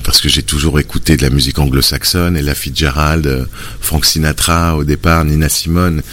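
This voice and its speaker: A man's voice: extremely low pitch, fairly even intonation, a little fast, a bit bright. A man being interviewed about his music, speaking hesitantly with many fillers and restarts. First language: French. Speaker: musician guest. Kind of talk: relaxed conversational interview.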